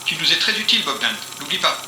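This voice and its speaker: Casting: male actor as sardonic intelligence officer, commanding, higher-pitched, roomy sound over music. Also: sing-song, quick pace. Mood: cynical, smooth. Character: cynical, commanding male police intelligence interrogator. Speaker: actor in an audio drama. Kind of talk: French audio fiction acting. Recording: roomy echo, music underneath.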